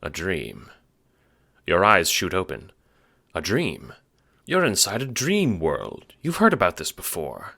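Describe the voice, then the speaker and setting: A man's voice: deep voice, wildly swooping pitch, slow pace, vocal fry, long pauses, pushed and bright. A man narrating an audio drama in dramatic, scripted second-person storytelling.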